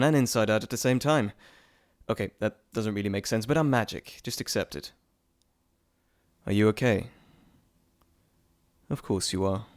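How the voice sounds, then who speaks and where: A man's voice: lowish voice, clear, resonant tone. A man playing a playful, cheeky messenger god in a dramatic roleplay monologue, chatty and theatrical, talking straight to the listener. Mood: soothing. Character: playful, teasing male Greek messenger god, cheeky trickster.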